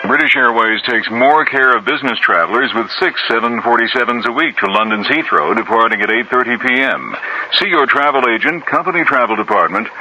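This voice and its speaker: A man's voice: mid-range voice, a little fast, rough recording. A man working as a radio announcer, reading station identification and commercial tags. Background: loud music.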